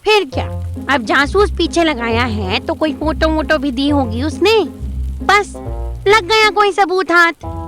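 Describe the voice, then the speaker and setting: A woman's voice: high-pitched, lively intonation, a little slow, fairly bright tone, soft background music. A woman playing a gossipy, suspicious middle-aged mother in an audio drama, colloquial and scolding. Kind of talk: Hindi audio drama acting.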